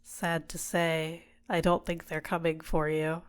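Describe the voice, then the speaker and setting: A woman voicing a possessive, manipulative villainess in an intimate ASMR roleplay, soft-spoken and coaxing, teasing and sometimes whispering to a captive. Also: deep voice, little pitch movement, measured pace, smooth. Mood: coolly manipulative.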